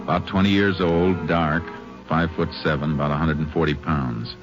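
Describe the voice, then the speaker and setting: A stern Texas Ranger in a vintage radio western. His voice is extremely low, his delivery terse and authoritative, and the old recording is dull. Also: steady tone, moderate pace, over music. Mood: sober narrating. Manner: terse, authoritative, matter-of-fact.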